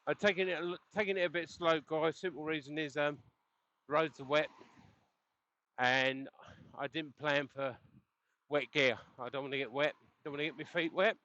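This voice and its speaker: Man, slightly high voice, chatty cyclist talking through a dull headset mic on the road. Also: steady tone, normal speed, pauses often, pushed and bright. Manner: rambling, chatty, chuckles often. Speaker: cycling vlogger. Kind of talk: casual chat while riding. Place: outdoors on a bike on the road. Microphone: helmet intercom headset mic.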